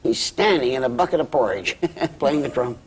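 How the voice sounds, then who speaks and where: A man's voice: medium pitch, expressive, normal speed, close to an everyday voice. A man playing a cranky, mocking old father in a sitcom read-through, blustery and needling. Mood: gleeful, mocking. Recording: background noise in a room.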